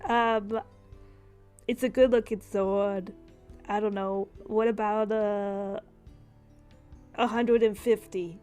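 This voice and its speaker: A woman game master narrates a tabletop session in a casual, conversational style. Her pitch is average for a woman, and music plays faintly behind her. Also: fairly even intonation, takes it slowly, pauses often, dark tone, clean tone.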